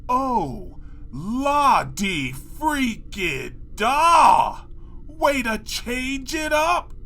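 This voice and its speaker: A man's voice: extremely high pitch, big pitch swings, extremely slow, bright, forceful tone, a little hiss or hum, full character voice. A man playing an exasperated, sarcastic cartoon pony in a comedic audio drama, ranting and talking back to his narrator. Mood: sarcastic exasperation. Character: exasperated, sarcastic male cartoon pony trapped in a haunted mansion.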